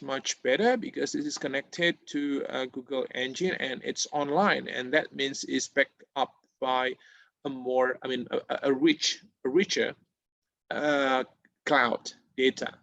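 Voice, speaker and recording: A man whose first language is Japanese walks students through a tutorial on a video call, his voice a little gravelly and recorded dry and close. He talks hesitantly, with many fillers and restarts along the way. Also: medium pitch, fairly bright tone, dry recording. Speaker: webinar presenter. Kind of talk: screen-share tutorial. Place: indoor room at home. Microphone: laptop mic on video call.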